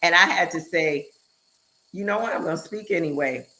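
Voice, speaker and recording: A woman hosting a live online show, chatting in an animated, emphatic way and speeding up in bursts. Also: lowish voice, moderate pace, low-quality recording. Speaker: show host and speaker.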